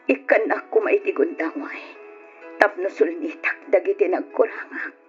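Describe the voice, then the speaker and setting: A woman's voice: on the low side, unhurried, close to an everyday voice. A woman playing a hurt, devoted middle-aged mother in an old radio drama, earnest and pleading. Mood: tender, pleading. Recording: dull old radio recording with music.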